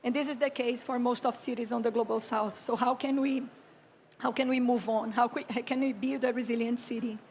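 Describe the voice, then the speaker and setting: A Brazilian woman in her thirties, speaking English with a Brazilian Portuguese accent, gives a slow, reflective conference talk as an architect and urban planner, often clearing her throat. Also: on the high side, steady tone, a little mellow, slight background noise. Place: conference stage.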